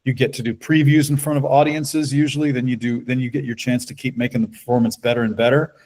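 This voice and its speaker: A middle-aged man with a deep bass-baritone speaks in an easygoing, conversational way. He tells long, flowing stories with a calm, steady delivery. It sounds like a relaxed podcast interview. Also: fast talker. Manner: relaxed, talkative, storytelling. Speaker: voice actor guest.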